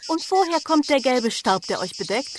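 A woman acting in a German radio play as a sceptical, long-suffering wife, husky and creaky, dry and reproachful. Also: high voice, animated, moderate pace, background noise. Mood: dry scepticism.

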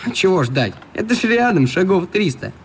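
A man's voice: high-pitched, normal speed, low-quality recording. A man playing a good-natured, plain-spoken young boatman in a radio play. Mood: friendly, helpful. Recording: light steady background noise.

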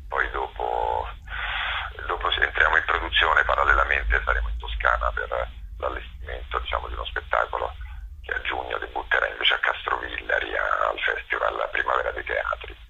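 A man being interviewed as a theatre artist, speaking thoughtfully and hesitantly, often pausing to search for words. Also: extremely low pitch, fairly even intonation, vocal fry, sharp tone, breathy edge, dull audio. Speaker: theatre actor-director, interview guest.

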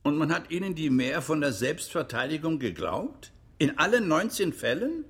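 Husky, animated male interrogator. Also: on the high side, moderate pace, exaggerated voice. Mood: sceptical, accusing. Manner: pressing, formal, incredulous. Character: insistent, sceptical interrogator at a military hearing. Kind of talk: radio drama courtroom questioning.

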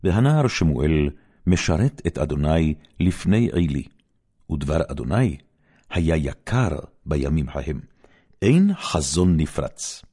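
A man giving a solemn, measured, dramatic reading of scripture as an audiobook narrator. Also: rumbling low, very animated, mellow, breathy edge.

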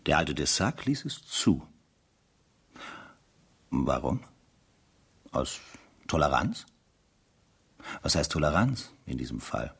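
Sceptical brooding narrator for a radio drama, creaky n raspy, really varied intonation. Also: male voice, a bass voice, big pitch swings, takes it slowly, long pauses, a bit bright, exaggerated voice. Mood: pensive, sardonic. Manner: pensive, sardonic, rhetorical questions. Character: ruminating, sceptical male narrator weighing evidence.

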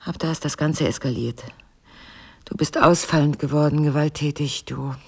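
An actress in a naturalistic radio drama playing a guarded, weary wife who speaks in a measured, evasive, dryly sardonic way. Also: female voice, deep voice, creaky, slightly rough, heavily acted. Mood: weary, bitter. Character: guarded, weary wife burdened by a painful secret.

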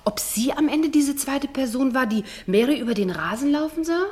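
A woman's voice: high-pitched, animated, moderate pace, darkish tone. Effusive, pleading, theatrical woman. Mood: musing, insinuating. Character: effusive, anxious, insinuating woman friend of the family. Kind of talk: radio drama acting.

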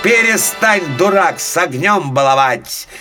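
An indignant, quarrelsome villager from a storybook as a man acts it out, scolding the family fool. The pitch is pushed up high and swings about, with soft music underneath. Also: lively intonation, unhurried. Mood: angry. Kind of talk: storybook character voice.

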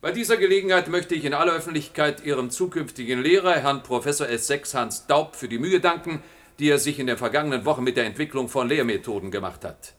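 An actor playing a smug, scheming middle-aged general in a German science-fiction radio drama, with an oratorical, condescending manner. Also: male voice, a little high, fast, fairly bright tone, natural voice. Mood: solemn, rousing.

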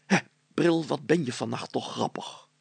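A man puts on a blustery older village mayor from a children's storybook. The voice is very gravelly and husky, self-satisfied and chatty, recorded close and dry. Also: medium pitch, moderate pace, a little mellow, dry recording. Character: pompous, gullible, greedy older male village mayor.

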